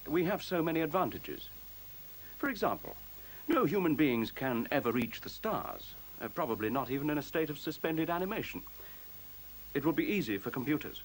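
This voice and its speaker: A man playing a newborn machine intelligence in a science fiction radio play, speaking in measured, formal, pedantic sentences with cool, superior logic. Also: on the high side, very animated, poor audio. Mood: serene, superior. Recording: faint hiss, 1970s radio recording.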